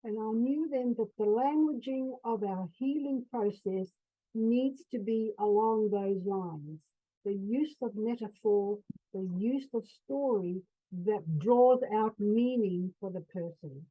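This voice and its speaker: An older woman from Fiji, an author and psychotherapist, speaking in an earnest, measured way in a radio interview. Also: medium pitch, narrow, tinny audio.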